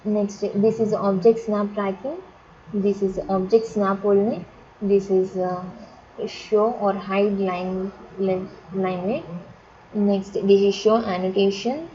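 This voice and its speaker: A woman teaching drafting software step by step, halting and often repeating and rephrasing herself. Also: mid-range voice, steady tone, slight background noise. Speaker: software instructor. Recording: dull lo-fi sound, crowd chatter behind.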